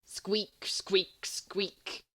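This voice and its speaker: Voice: extremely slow, a bit bright. Woman reading as an irritable, sulky teenage boy. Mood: needling. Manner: curt, exasperated. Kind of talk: audiobook character voice.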